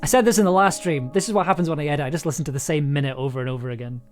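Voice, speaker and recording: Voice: medium pitch, racing, soft background music. Enthusiastic streamer chatting casually. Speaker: audio drama producer and streamer. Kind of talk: casual livestream chat while editing audio.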